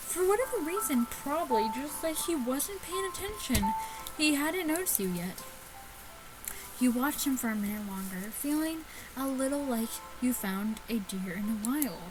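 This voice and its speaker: A woman hosting a gaming video, reading a visual novel aloud in a casual, halting way with stumbles and asides. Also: a little high, poor audio. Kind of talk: casual chat and read-aloud let's play.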